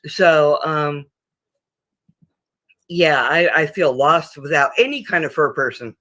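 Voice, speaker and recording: A woman in her fifties talks loosely on a livestream panel, starting sentences over and over. Her voice is bright and forward. The sound is dry and close. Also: low-pitched, lively intonation, no room sound. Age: 50s. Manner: rambling, halting, with frequent restarts and laughs. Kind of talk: casual group chat.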